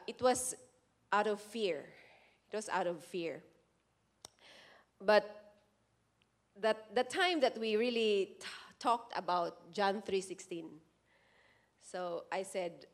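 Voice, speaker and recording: Earnest woman giving a church testimony. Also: mid-range voice. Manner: earnest, halting, with frequent restarts. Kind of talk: spoken testimony at a church service.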